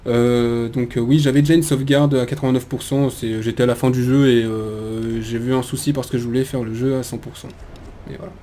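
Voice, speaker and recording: A man hosting a let's play with casual, hesitant game commentary, often halting and correcting himself. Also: mid-range voice, fairly even intonation, mellow, a little hiss or hum. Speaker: let's play video host.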